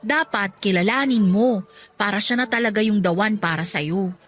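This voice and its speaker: A woman with a Filipino accent playing a warm, self-deprecating single mother in a radio drama, speaking expressively. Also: medium pitch, normal speed, faint music behind, lightly acted. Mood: encouraging, tender.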